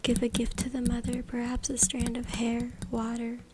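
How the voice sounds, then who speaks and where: A woman whispering breathily and softly in an ASMR style as she reads aloud, close to a USB microphone. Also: on the high side, completely flat delivery, moderate pace. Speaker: ASMR content creator. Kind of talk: ASMR whispered reading from a book. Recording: very noisy close USB mic, clicks. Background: loud noise, tapping and scratching clicks.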